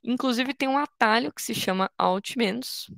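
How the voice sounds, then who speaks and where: Young woman from Brazil, coding workshop teacher; relaxed explainer, some vocal fry, dry close mic. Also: on the high side, moderate pace, no echo. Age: 20s. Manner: patient, informal, explains step by step with restarts. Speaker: workshop instructor. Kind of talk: online coding tutorial.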